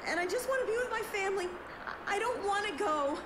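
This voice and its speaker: An actress playing a young, feisty princess with a dramatic manner in an audio drama. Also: female voice, very high-pitched, animated, quick pace. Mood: babbling, frightened. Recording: loud background noise and ambience.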